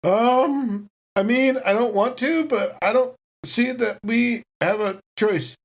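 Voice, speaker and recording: A woman's voice: fairly high pitch, takes it slowly. A woman improvising as a player in a tabletop role-playing game, with casual, wry joking.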